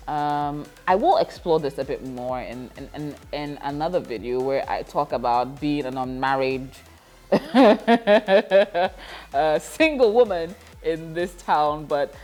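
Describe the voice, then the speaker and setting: A woman of thirty talking reflectively in a casual vlog, with frequent laughter and fillers. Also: on the low side. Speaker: vlogger.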